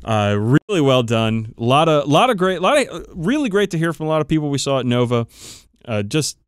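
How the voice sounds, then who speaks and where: Clear-voiced man co-hosting a podcast. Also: a little high, very animated, brisk, no echo, studio quality. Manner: profane, teasing. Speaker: podcast co-host. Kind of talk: casual, bantering podcast chat.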